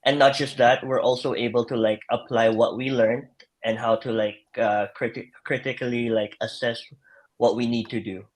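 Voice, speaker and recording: Relaxed young man, lots of "like". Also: medium pitch, normal speed. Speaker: guest, former intern. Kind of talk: casual live-stream chat. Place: home, Madrid. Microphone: video call.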